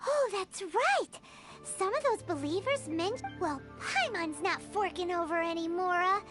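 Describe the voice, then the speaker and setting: A woman voicing a tiny, excitable, blunt fairy girl companion in a video game, with a squeaky childlike voice. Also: a little slow, loud music behind the voice. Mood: puzzled, chatty. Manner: refers to self in third person. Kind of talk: animated video game voice acting.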